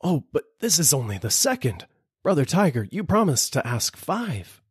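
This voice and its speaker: A man voicing a gentle, kind holy man who pleads desperately for his life in a storybook reading. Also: on the high side, big pitch swings, normal speed, breathy edge, no echo, studio quality, pitched above the performer's own voice, exaggerated voice. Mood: pleading. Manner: pleading, imploring.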